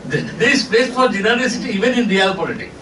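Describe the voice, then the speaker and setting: A man's voice: high voice, lively intonation, quick pace. A man making remarks as a participant in a public discussion. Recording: echoey room, distant mic, clear noise.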